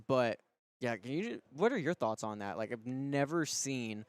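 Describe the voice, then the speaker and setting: A man's voice: mid-range voice, expressive, a little slow, smooth, dry recording. Man co-commentating in a dry sound. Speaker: sports radio co-commentator. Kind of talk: conversational live sports commentary.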